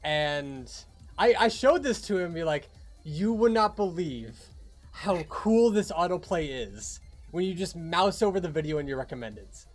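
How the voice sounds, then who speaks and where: Young adult livestream host, lively intonation, casual and chatty, background music. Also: medium pitch, normal speed. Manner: animated, informal. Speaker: livestream host of a fan animation project. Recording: music behind the voice.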